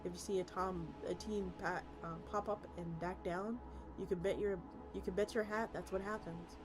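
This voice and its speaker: A woman's voice: on the low side, fairly even intonation, normal speed. A woman streamer reading a story aloud expressively, sometimes stumbling over words and restarting lines. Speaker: VTuber streamer. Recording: music playing under the voice. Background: music.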